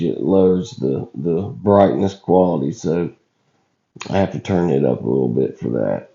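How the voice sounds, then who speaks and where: Deep, slow, gravelly hoarse man. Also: dark tone. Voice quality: rough, scratchy voice. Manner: folksy, halting, colloquial. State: hoarse, recovering from illness. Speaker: video channel host. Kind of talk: casual footage commentary. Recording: dull lo-fi recording.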